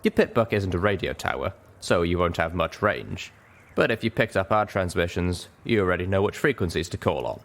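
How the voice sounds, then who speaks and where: A man's voice: low-pitched, lively intonation, fairly quick. A man voicing a proud, tough female griffin mercenary leader in a story reading. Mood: businesslike.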